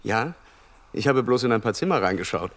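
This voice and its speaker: A man's voice: lowish voice, animated, a little fast, a bit bright. An actor playing a wealthy young man in a radio play, nervously formal, polite and restrained. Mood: nervous politeness.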